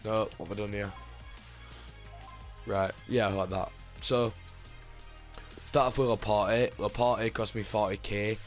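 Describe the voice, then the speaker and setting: A man hosting his gaming channel, talking casually about his team with a slightly hoarse voice, rambling with lots of fillers and restarts. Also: lowish voice, steady tone, measured pace, long pauses, soft background music. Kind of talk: casual gameplay commentary.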